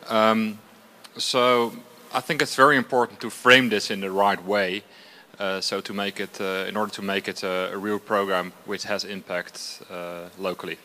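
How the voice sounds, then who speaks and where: Low, bright-voiced man giving comments. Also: flat delivery, moderate pace, slight background noise. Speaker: roundtable participant. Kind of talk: impromptu comment at an event. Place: live event room.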